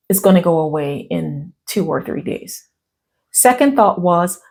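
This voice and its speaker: An American woman with a fairly low voice tells a personal story in a chatty way, glancing at her notes as she goes. Her voice still sounds a little worn from a recent cough. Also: darkish tone. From United States. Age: middle-aged. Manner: chatty, reading from notes, quoting others in asides. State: recovering from a cough and lost voice. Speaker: vlogger. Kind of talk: casual storytelling to camera.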